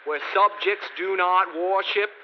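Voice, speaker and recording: A man playing a troubled king charged with treason, speaking in a measured, earnest way in verse for a radio drama. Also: high-pitched, steady tone. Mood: defiant. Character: troubled modern king on trial. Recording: dull lo-fi audio with faint hiss.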